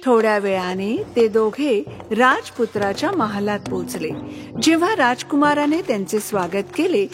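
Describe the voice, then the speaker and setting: High-pitched woman narrating a children's story.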